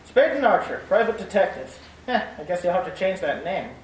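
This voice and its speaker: A wry, easygoing cop, far off. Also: male voice, sits high, sing-song, brisk, roomy sound. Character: easygoing male police detective. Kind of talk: 1940s radio acting. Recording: distant 1940s radio broadcast.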